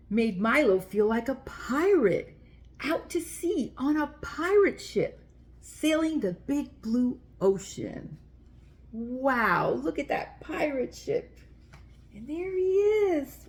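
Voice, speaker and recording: A woman's voice: high-pitched, a little mellow. Woman reading aloud to young children. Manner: addresses young listeners directly with simple explanations. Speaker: children's story time host and author. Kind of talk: children's read-aloud storytelling.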